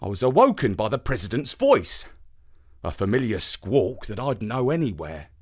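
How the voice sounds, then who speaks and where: A man voicing a good-natured space dog who narrates a children's bedtime story in a wry, expressive way. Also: fairly low pitch, normal speed, husky. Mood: storytelling. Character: good-natured male space-dog telling his own tale.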